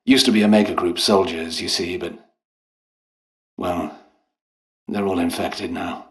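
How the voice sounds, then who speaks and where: An actor playing a polite, eccentric British doctor and scientist in a video game, with refined, hesitant, apologetic and understated speech. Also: male voice, sits low, steady tone, measured pace. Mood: regretful. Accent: British English. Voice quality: refined, well-spoken. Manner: courteous, hesitant, understated, chatty.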